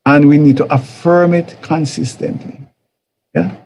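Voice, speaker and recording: A man's voice: a little high, steady tone, measured pace, darkish tone, a little hiss or hum. A man with a Jamaican accent giving an informal church teaching talk, conversational and repetitive, often chuckling and acting out quoted voices. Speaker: teacher of a church life series.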